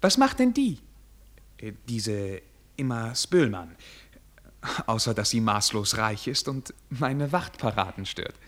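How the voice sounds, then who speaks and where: An actor playing a haughty, naive German prince in a radio play, speaking in a formal, stilted and hesitant manner. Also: male voice, lowish voice, big pitch swings, slow, a bit bright, heavily acted. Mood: bewildered, disdainful. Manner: formal, stilted, hesitant with affected filler sounds.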